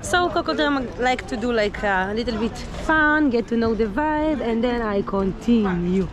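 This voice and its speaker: High-voiced Israeli woman vlogging. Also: lively intonation, normal speed. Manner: playful, non-native English. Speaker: travel vlogger. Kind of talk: casual chat to camera and passers-by. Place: outdoor city street market. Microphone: handheld action camera at arm's length. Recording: busy street market crowd noise.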